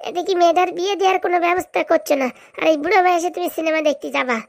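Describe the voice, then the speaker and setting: Shrill-high nagging cartoon village wife. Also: female voice, very fast, creaky, dry recording, studio quality. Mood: scolding. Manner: nagging, colloquial. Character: scolding older village mother and wife, cartoon. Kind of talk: comic cartoon dialogue.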